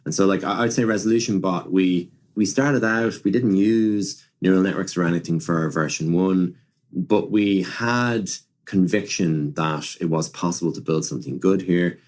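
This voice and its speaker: A man with a low voice discusses technology as a podcast guest. He works through his thoughts aloud in a conversational way, circling back, rephrasing and peppering sentences with small filler words. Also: normal speed, a little mellow. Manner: repeats and rephrases, punctuates with "like" and "you know". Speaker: podcast guest, machine learning director.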